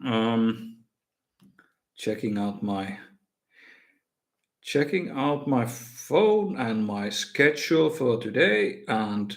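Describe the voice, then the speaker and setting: Man, Finnish accent, Finnish native speaker, casual live stream host, mid-pitched voice, hesitant, lots of um and uh. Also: sing-song, drawn-out words, long pauses. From Finland. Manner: hesitant, many fillers and restarts. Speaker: miniature painting streamer.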